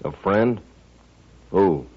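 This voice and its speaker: A man's voice: low voice, expressive, drawn-out words, slightly rough, heavily acted. A man acting a cocky, callous riverboat gambler in a 1950s radio western, with a brash, mocking manner. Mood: wary. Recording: muffled vintage 1950s radio recording.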